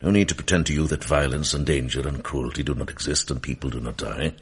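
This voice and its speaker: Very deep, calm monk detective. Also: male voice, quick pace, husky, heavily acted. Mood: firm, candid. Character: shrewd, calm older monk detective, male, gently authoritative. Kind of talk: radio drama acting.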